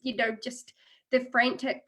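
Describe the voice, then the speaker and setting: A woman's voice: a little high, level tone, unhurried, bright, forceful tone. A woman in her thirties with a New Zealand accent, giving a friendly, chatty presentation on a video call with many fillers. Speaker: guest speaker, international marketing officer. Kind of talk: webinar presentation.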